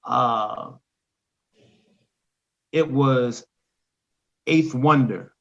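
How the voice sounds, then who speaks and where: A man, a rapper and poet, talking casually on a video call, pausing often with ums and restarts. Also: mid-range voice, creaky. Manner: halting, many ums, animated when quoting lyrics. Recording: dull lo-fi call audio.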